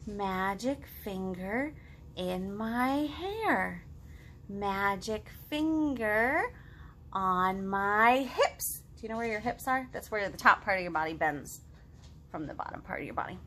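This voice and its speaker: A woman in her thirties hosting a children's storytime, reading and chatting to young kids in an expressive, sing-song, exaggerated way. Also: mid-range voice, slow pace, a little hiss or hum. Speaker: children's librarian, storytime host. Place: living room at home, indoors.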